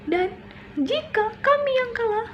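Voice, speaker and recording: A woman voicing a tiny, fierce ant defending its home, shrill and shouting through tears. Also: animated, a little slow, muffled recording. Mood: indignant. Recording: noisy background with passing traffic.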